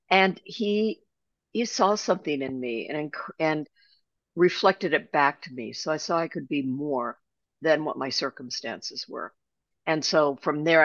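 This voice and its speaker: A woman's voice: low-pitched, a bit bright, no room sound. Older woman telling her story, muffled. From Germany. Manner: reflective storytelling, frequent restarts. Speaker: guest author. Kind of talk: conversational interview.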